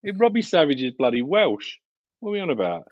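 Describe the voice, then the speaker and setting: A man's voice: fairly high pitch, big pitch swings, fairly quick, no room sound. A man co-hosting a live football watchalong, chatting casually with wry joking asides. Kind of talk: casual live-stream chat. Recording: dull lo-fi stream audio.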